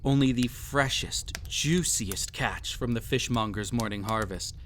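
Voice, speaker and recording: Man playing a slow, silky, cruel emperor. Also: medium pitch, a bit bright, a little hiss or hum, lightly acted. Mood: coaxing, haughty. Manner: haughty, silky, condescending, theatrically drawn-out delivery. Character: cruel, possessive, mocking young emperor pampering a captive pet. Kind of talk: scripted roleplay audio drama, addressed to listener.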